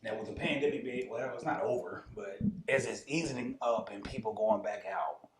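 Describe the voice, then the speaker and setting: A man's voice: mid-range voice, moderate pace. An American man hosting a casual interview, asking questions and giving short acknowledgements. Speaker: interviewer, host. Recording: echoey room with clear background noise.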